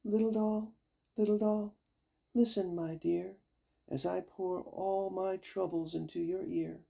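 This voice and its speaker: An older man voicing a sweet, sorrowful young girl in a storybook, pleading in a gentle singsong. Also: high-pitched, steady tone, slow pace, gravelly, voice pushed up, heavily acted. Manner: gentle, singsong rhyming plea.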